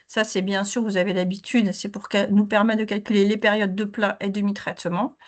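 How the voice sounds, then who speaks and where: Hoarse woman presenting a training session. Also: medium pitch, brisk. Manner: explanatory, steady. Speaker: claims team manager. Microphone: video call.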